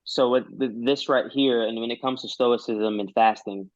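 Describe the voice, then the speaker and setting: A man's voice: mid-range voice, moderate pace, no echo. A man hosting an interview over a video call, asking questions casually and hunting for words with many ums. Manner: searching for words, lots of ums. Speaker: host. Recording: dry, dull lo-fi call audio.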